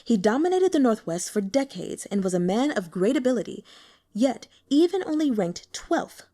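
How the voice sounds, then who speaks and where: A woman's voice: on the high side, big pitch swings, normal speed, mellow. A woman voicing a guarded, frail young strategist. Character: calm, shrewd, frail young male strategist.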